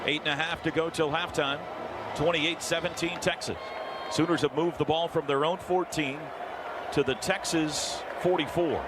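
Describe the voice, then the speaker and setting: A man's voice: a little high, steady tone, moderate pace. An American man calling a football game live on the radio as play-by-play announcer from a stadium booth. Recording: loud stadium crowd and booth noise.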